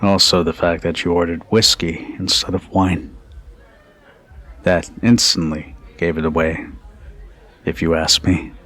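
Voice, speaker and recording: A low-voiced, unhurried bartender acted by a man in an intimate ASMR roleplay. He is protective and teasing, with a commanding but caring way of putting each phrase. His voice has a husky grain. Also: flat delivery, a bit bright.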